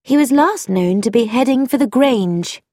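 A woman voicing a sharp young lady. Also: fairly high pitch, expressive, normal speed, no room sound, studio quality, pitched above the performer's own voice. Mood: sharp, pointed. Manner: pointed, hard-edged.

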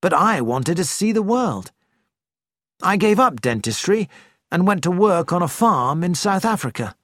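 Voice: medium pitch, big pitch swings, unhurried, a bit bright, no room sound, studio quality, pitched above the performer's own voice. A narrator voicing a restless young dentist. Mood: earnest.

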